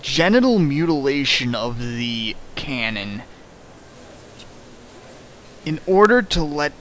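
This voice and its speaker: Animated man riffing a fanfic aloud. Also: mid-range voice, slow, slight background noise, rough recording. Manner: sarcastic, exasperated, shifts into mock voices. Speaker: fanfic reader and riffer. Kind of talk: dramatic reading with mocking commentary. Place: home streaming setup.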